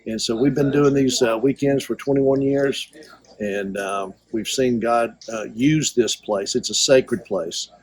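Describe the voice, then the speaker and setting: A man who leads a men's retreat, speaking for a video in a slow, halting way with many pauses. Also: medium pitch, fairly even intonation, a little mellow. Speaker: retreat leader. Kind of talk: spoken testimony for a video.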